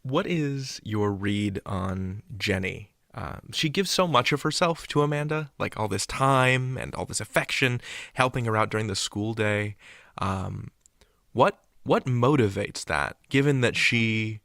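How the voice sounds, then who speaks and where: Gravelly, low-voiced male interviewer. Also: expressive, unhurried, a bit bright, no echo, studio quality. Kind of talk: measured interview questions.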